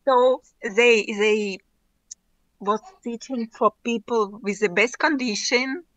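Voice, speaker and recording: Woman guest, Russian accent, bright clear tone, dry close sound, restarts often. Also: a little high, no echo, low-quality recording. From Ukraine. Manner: halting non-native English, frequent restarts, laughs often. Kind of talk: casual interview chat.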